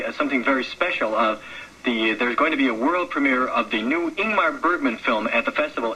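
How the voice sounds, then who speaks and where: A man performing comic banter as a radio guest, speaking earnestly and haltingly and repeating his words. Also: medium pitch, bright, forceful tone. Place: radio studio. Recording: faintly noisy 1979 radio broadcast recording.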